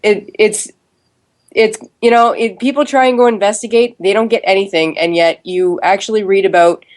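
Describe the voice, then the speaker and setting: A woman's voice: mid-range voice, steady tone, normal speed, a little mellow. A woman chatting informally on a podcast, peppering her talk with "like". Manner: chatty, informal, says "like" often. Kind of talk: casual podcast chat.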